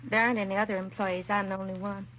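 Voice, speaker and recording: An American actress playing a timid, self-doubting young secretary in a 1950s radio drama, hesitant and apologetic. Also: female voice, mid-range voice, little pitch movement, rapid-fire, lightly acted. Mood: timid, uneasy. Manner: hesitant, nervous, apologetic, trailing and restarting. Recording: muffled vintage radio recording, faint hiss.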